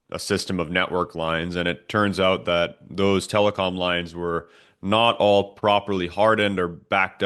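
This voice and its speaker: A man co-hosting a podcast, giving a measured, prepared explanation. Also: low-pitched, fairly bright tone, smooth. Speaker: podcast co-host.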